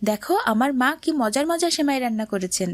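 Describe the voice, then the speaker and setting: A woman plays a kind neighbour boy in a moral cartoon. The voice is somewhat high, earnest and a little preachy. The recording is dry, with no room around it. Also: lively intonation, quick pace, dry recording, natural voice. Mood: kindly, cheerful. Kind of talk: dramatic cartoon voice acting.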